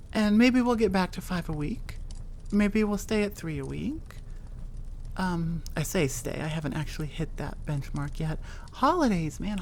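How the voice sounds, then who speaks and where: A woman's voice: on the low side, darkish tone, a little hiss or hum. A woman hosting her own audio channel, chatting casually in an outro and often correcting herself. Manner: rambling, self-correcting, with frequent fillers. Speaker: audio creator and host.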